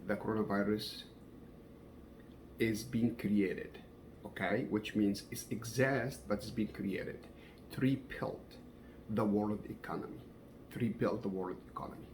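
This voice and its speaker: Man from Egypt, middle-aged, low voice, gravelly, halting speech with many fillers, home recording, faint hiss. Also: darkish tone. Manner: halting, many fillers, non-native grammar. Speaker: fencing coach sharing opinions. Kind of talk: casual talk to camera.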